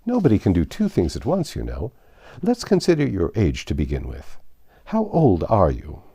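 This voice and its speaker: A man reading aloud, voicing a flustered old queen with a high, fluttering, excitable delivery. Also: moderate pace, breathy edge, heavily acted. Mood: decisive. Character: flustered, fussy old queen, woman over a hundred.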